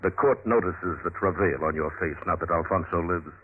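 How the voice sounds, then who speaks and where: Deep, husky, sly henchman, old radio. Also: moderate pace. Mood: insinuating. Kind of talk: old-time radio drama acting. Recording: tinny vintage radio broadcast recording.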